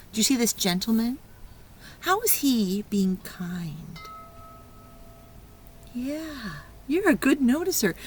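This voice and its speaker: Expressive woman reading slowly to young children. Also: fairly high pitch. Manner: encouraging, praising child listeners; expressive, exclaiming and prompting. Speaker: preschool teacher reading a picture book aloud. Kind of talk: children's read-aloud with questions to young listeners. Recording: clear background noise.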